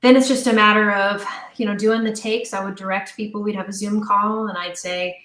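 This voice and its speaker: A woman's voice: medium pitch, steady tone, a little fast, fairly bright tone. A woman with a mid-range voice talks as a guest in an interview. She explains things in a chatty, informal manner, working out her thoughts aloud. The sound is noticeably roomy, with echo around her words. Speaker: audio drama writer and director, interview guest.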